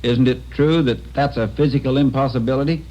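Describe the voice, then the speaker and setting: An actor playing a dry, official coroner questioning in a formal, impersonal way in a 1950s radio drama. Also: male voice, mid-range voice, steady tone, fairly quick, mellow, close to an everyday voice. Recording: muffled, noisy old radio recording.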